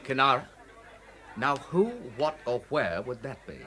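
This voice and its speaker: An actor playing a brisk, keen police sergeant asking questions in a radio drama. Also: male voice, mid-range voice, lively intonation, pushed and bright, some chatter behind. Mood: inquisitive. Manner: keen, inquisitive. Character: eager, brisk male police sergeant on the hunt.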